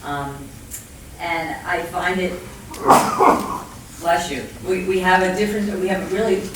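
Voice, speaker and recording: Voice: mid-range voice, flat delivery, unhurried. A middle-aged or older panelist with a Brooklyn accent, talking off the cuff in a conversational, plain-spoken and emphatic way. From Brooklyn, New York, USA. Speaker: labor relations negotiator, conference panelist. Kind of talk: panel talk, speaking off the cuff. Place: indoor conference room with audience. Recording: echoey hall, distant mic, faint noise.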